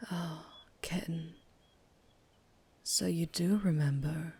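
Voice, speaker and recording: A woman plays a mysterious vampire biker in an ASMR roleplay. Her voice sits on the low side and she takes her time with each phrase. She is teasing and menacing by turns. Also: a little mellow, husky, heavily acted.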